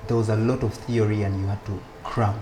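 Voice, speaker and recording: A man with a lowish voice recalls his youth for an interviewer. He speaks in a relaxed, conversational way and laughs often. A faint hum of room noise sits beneath him. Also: brisk. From Uganda. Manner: laughs often, frequent restarts and fillers. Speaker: guest.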